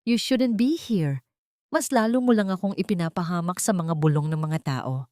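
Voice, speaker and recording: A woman's voice: on the low side, normal speed, a little mellow, no room sound, clean studio sound, lightly acted. A woman narrator voicing a guarded, distrustful young woman doctor in an audio drama, hesitant and accusing. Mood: wary reproach.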